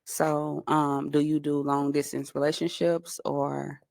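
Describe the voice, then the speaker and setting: A woman's voice: deep voice, little pitch movement, unhurried, gravelly, a little mellow, dry recording, studio quality. A woman from Arizona, a dating show contestant, talking hesitantly with many fillers.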